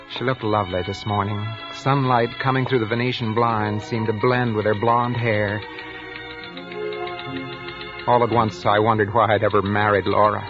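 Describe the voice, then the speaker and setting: An actor playing a meek, touchy, hesitant bookkeeper in an old radio drama, recorded with muffled vintage sound. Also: male voice, on the low side, natural voice. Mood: brooding. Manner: hesitant, stammering, defensive. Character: meek, jittery, touchy male bookkeeper, henpecked daydreamer. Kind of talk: 1940s radio drama acting with first-person narration.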